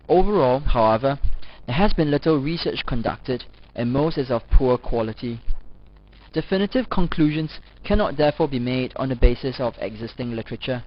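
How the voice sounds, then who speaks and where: Male lecturer for scripted teaching narration. Measured, formal read-aloud delivery, medium pitch, slightly dull lo-fi sound. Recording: dull lo-fi voice-over recording.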